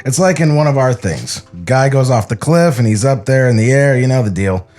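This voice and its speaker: Man playing weary cartoon director, sincere, hesitant, widely varied pitch, faint music underneath. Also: animated, fairly quick, a little mellow, close to an everyday voice. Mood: quietly concerned. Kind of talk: acted screenplay table read.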